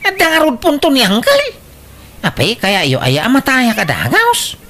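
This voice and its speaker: A woman's voice: a little high, wildly swooping pitch, moderate pace, fairly bright tone, exaggerated voice. A woman playing a stubborn, lazy young son who gambles, whiny and defiant, in an Ilocano-accented radio drama.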